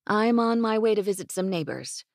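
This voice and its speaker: A woman's voice: mid-range voice, lively intonation, moderate pace, darkish tone, no room sound, clean studio sound, voice pushed up, lightly acted. A woman voicing a spirited young ranch woman with a teasing, bossy drawl, read in an audiobook. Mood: playful.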